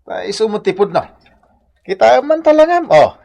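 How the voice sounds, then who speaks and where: An actor playing a rowdy, taunting village drinker in an Ilocano radio comedy, with an Ilocano accent. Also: male voice, sits high, sing-song, normal speed, creaky, a little mellow, muffled recording, heavily acted.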